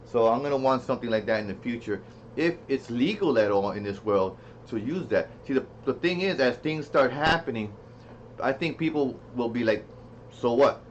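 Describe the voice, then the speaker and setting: A man's voice: medium pitch, animated, moderate pace. A man giving a casual, unscripted product demo, rambling as he thinks aloud and repeats himself. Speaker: product reviewer. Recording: dull lo-fi sound with faint noise.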